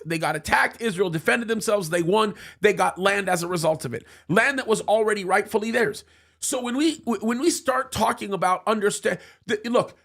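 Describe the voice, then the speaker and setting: A man's voice: sits high, vocal fry, fairly bright tone. A man in a studio talk show, making a heated argument with emphatic restarts and repeated words. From United States, of Egyptian parents.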